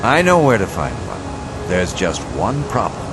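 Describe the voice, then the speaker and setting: Animated, knowing game ally. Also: male voice, low-pitched, a little slow, exaggerated voice. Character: sly, well-informed male ally, friendly and knowing. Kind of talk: video game voice acting. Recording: music behind the voice.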